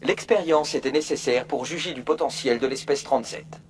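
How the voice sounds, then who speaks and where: A man's voice: medium pitch, brisk, slight background noise, close to an everyday voice. A man playing a polite, emotionless virtual assistant program in a video game, speaking formally and procedurally. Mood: impassive, procedural. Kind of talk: French video game dub, formal information readout.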